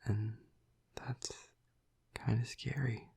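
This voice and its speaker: A man playing a tormented, tender vampire lover in an intimate audio roleplay, halting and stammering in a breathy, husky half-whisper. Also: medium pitch, level tone, warm tone, full character voice. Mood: anguished, smitten.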